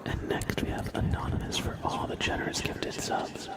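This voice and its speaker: A man hosting a live ASMR stream, speaking in a breathy, hushed whisper with repeated, drawn-out words. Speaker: ASMR livestream host. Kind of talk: live ASMR, thanking viewers and chatting.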